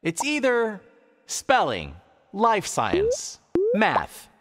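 Swooping, upbeat cartoon quiz host. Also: male voice, sits high, huge pitch swings, a bit bright, full character voice. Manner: theatrical, drawn-out announcer delivery. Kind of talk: scripted game show hosting. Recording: reverberant studio with crowd noise.

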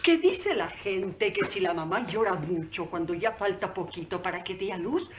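An actress playing a shrewd, cheeky old maidservant in a radio drama, chatty, folksy and emphatic. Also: female voice, mid-range voice, expressive, normal speed, lo-fi sound, slight background noise, natural voice. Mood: folksy scolding.

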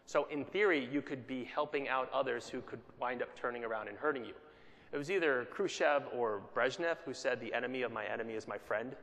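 A mid-pitched man delivers a business keynote from a stage. He sounds conversational rather than formal and keeps checking in with the audience. His voice rings out with a long reverb that fills the conference hall. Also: brisk, fairly bright tone. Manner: conversational, checks in with "right?" often. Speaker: keynote speaker.

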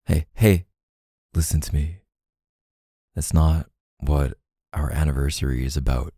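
Very deep, tender boyfriend acted by a man. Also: steady tone, dark tone, slightly rough, dry recording, studio quality, full character voice. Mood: tender, earnest. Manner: tender, halting, sighing between phrases. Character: gentle, apologetic, doting boyfriend comforting his partner. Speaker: boyfriend roleplay audio performer. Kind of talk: intimate ASMR boyfriend roleplay.

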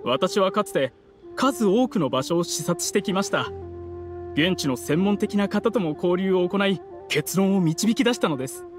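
An actor playing a polite, earnest young candidate in a Japanese video game, speaking formally and articulately. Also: male voice, high-pitched.

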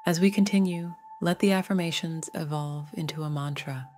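A woman narrating a scripted guided meditation in a gentle, soothing, measured way. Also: low-pitched, faint music behind.

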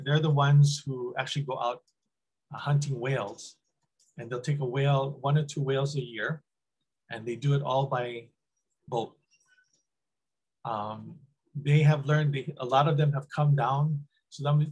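A man's voice: mid-range voice, husky, poor audio. A middle-aged man from Hawaii, giving a casual, rambling talk over a webcam call, with lots of ums and restarts. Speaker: guest speaker. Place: small room indoors. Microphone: webcam on video call.

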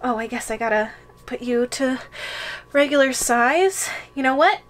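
A woman's voice: sits high, a little slow. A woman voicing a possessive yandere giantess in an ASMR roleplay, coy and giggly, with hesitant menace. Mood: hesitant, threatening.

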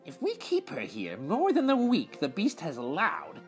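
A man voicing jealous, scheming sisters in a storybook, in a low, conspiratorial manner full of malice. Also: huge pitch swings, normal speed, faint music behind. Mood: scheming glee.

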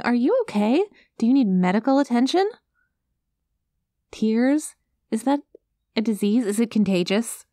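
Woman acting a high-voiced, earnest alien girl. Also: mellow, no echo, clean studio sound. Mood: curious, concerned. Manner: naive, literal, hesitant, reassuring. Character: cute, earnest, gentle alien girl rescuing a human. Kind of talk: ASMR roleplay acting.

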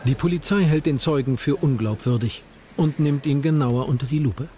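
A man's voice: medium pitch, moderate pace, dark tone, slight background noise. A man reading scripted narration for a documentary.

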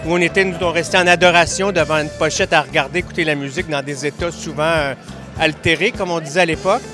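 A man's voice: a little high, steady tone, quick pace. A middle-aged man casually reminiscing in an interview. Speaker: interviewed music fan. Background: music.

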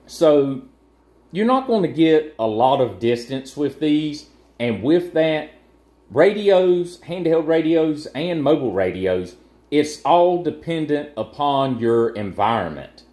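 A man's voice: medium pitch, a little mellow, roomy sound. A man presenting a casual gear overview, explaining things in a plain, matter-of-fact way.

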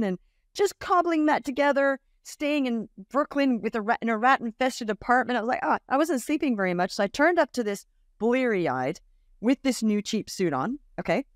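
A woman in her fifties, raised in Australia, talks as a podcast guest in a very dry home room, close to a large studio mic. She tells stories with animation, acting out the voices of the people in them. Her pitch sits in the middle for a woman. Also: no room sound. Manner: animated storyteller, acts out quoted voices, laughs often. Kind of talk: casual interview storytelling. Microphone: large studio mic on boom arm, video call.

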